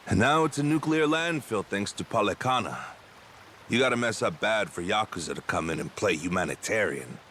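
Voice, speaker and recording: Low-voiced, dry pirate crewman. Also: expressive, normal speed, pushed and bright, a little hiss or hum. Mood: dry, wary. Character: level-headed, sardonic adult male pirate crewman. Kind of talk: video game cutscene acting.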